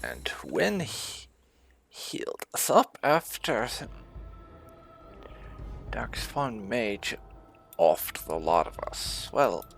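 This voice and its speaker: Male gaming host, casual commentary. Creaky low register, highly animated intonation, unhurried. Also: soft background music.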